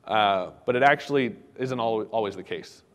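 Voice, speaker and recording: A man giving a technical conference talk in a casual, halting way, with many fillers and restarts. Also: lowish voice, long reverb tail. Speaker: conference speaker. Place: conference hall.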